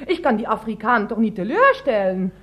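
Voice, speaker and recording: A woman's voice: a little high, big pitch swings, normal speed, a bit bright. An actress in a Dutch radio play as an earnest, fretting woman, protesting emphatically. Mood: indignant, fretting. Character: earnest, fretting woman devoted to her charity shop. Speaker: radio drama actor. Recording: dull, band-limited radio recording.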